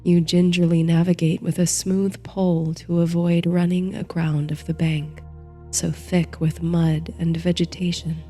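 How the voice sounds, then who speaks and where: A woman narrating a guided bedtime meditation in a soothing, gentle, hushed manner, reading from a script. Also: fairly low pitch, little pitch movement, a little slow. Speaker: host and narrator of a sleep story series. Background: soft music.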